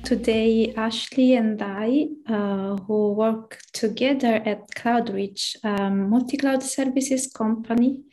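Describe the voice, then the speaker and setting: Slow, mellow woman with Italian accent. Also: on the high side, fairly even intonation, faint music behind. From Italy. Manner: hesitant, many fillers and restarts. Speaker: tech meetup speaker. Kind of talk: presentation talk.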